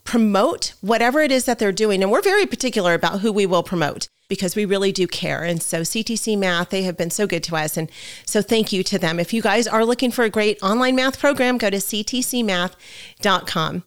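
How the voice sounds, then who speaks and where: A woman hosting a podcast in a casual, effusive way, her voice raspy as she gets over a head cold. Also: mid-range voice, a little fast. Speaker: podcast host. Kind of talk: casual, friendly chat.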